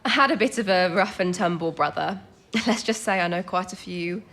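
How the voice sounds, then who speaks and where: An actress playing a modest, clever, cheeky teenage codebreaker in a wartime radio drama. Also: female voice, on the low side, normal speed, a bit bright. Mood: modest, playful. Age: young adult.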